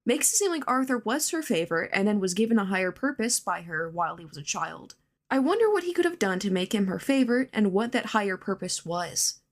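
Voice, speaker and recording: A woman's voice: mid-range voice, sing-song, a little fast. A woman giving prepared fan commentary as a listener's voice message.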